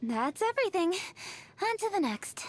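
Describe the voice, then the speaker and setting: A woman's voice: extremely high pitch, big pitch swings, moderate pace. An actress playing a confident young female adventurer in short video game voice lines. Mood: confident, satisfied.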